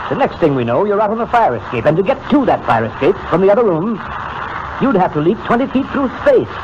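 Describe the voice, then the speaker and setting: An actor playing a hot-headed, scornful campaigner, blunt and challenging, in 1940s radio style. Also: male voice, high voice, big pitch swings, fast talker. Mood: accusing. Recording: noisy, muffled old radio recording.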